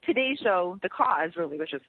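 A bubbly woman co-hosts a radio talk show, her voice swooping up and down as she chats. Her tone is slightly husky and very dry. It comes through a thin, tinny phone line. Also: fairly quick. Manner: bubbly, laughs often, lively pitch swings. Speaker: co-host.

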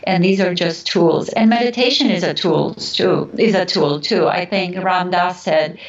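A woman's voice: on the low side, measured pace. A woman from Romania giving earnest, reflective answers as an interview guest, often searching for her words, in an echoey, distant recording. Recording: echoey, distant, dull sound.

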